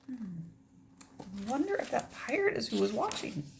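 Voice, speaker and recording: A woman's voice: a little high, normal speed, creaky, noisy background. A woman reading a children's picture book aloud, expressively, with casual asides about the pictures. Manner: expressive, pointing out pictures to listeners.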